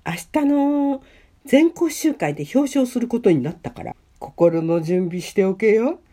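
A woman's voice: mid-range voice, animated, unhurried, dark tone, pitched below the performer's own voice. A woman playing an easygoing, oblivious, kindly male schoolteacher in an amateur radio drama, with casual masculine speech. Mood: teasing.

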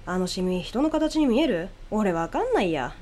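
A woman's voice: medium pitch, sing-song, moderate pace, warm tone, slight background noise, close to an everyday voice. A woman voicing a casual, teasing male bar owner with blunt, informal speech in an audio horror story. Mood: puzzled, joking.

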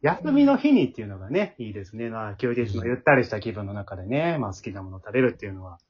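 子供たちに話を聞きながら、答えを繰り返して励ますように進行する男性の司会者。. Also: male voice, fairly low pitch, sing-song, fast talker. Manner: encouraging, repeats the children's answers back. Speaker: host of an amateur drama group's aftertalk. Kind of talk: casual hosting, interviewing children. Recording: muffled, band-limited sound.

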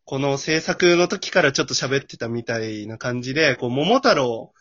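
A man's voice: medium pitch, expressive, moderate pace, fairly bright tone. A man chatting casually as a cast guest, joking and self-deprecating. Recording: muffled lo-fi audio.

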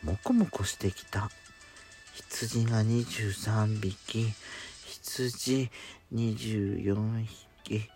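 A man's voice: on the low side, steady tone, extremely slow, a little mellow, voice pushed down. Guy doing a pampered clingy sweetheart, teasing girly wording, bedtime audio drama, music under it. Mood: very sleepy. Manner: coy, teasing, feminine wording. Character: coy, clingy, pampered sweetheart with feminine speech, sleepy.

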